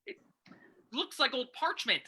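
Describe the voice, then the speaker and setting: Frightened young villager played by a woman: high, piercingly bright, slow horrified radio-play delivery. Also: sing-song, slightly rough, full character voice. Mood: fearful. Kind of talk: old-time radio drama reading.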